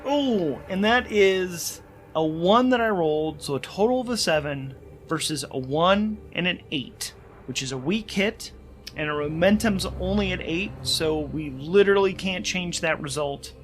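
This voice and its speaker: A man playing a solo role-playing game, thinking aloud and narrating haltingly, repeating phrases as he reasons things out. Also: sits high, animated, slight background noise.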